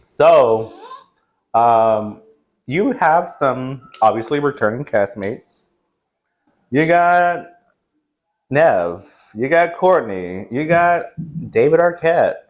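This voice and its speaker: A man in his forties talks about films in a casual, chatty way. He rambles along as a review co-host, with a medium-pitched voice, picked up by a camera in a small room. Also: animated, takes it slowly, long pauses. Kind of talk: casual film chat. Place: small indoor game room.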